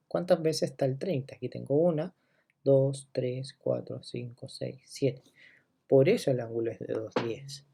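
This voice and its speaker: Gravelly, husky-voiced male math teacher. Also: mid-range voice. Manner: walks through each step, checking in with listeners; counts items out loud one by one. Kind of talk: recorded geometry lesson.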